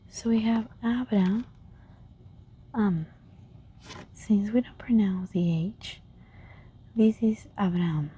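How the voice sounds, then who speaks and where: Softly whispering woman teacher. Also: mid-range voice, husky, lo-fi sound. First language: Spanish. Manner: soft whisper, repeats each word slowly. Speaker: language teacher. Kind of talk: whispered ASMR language lesson.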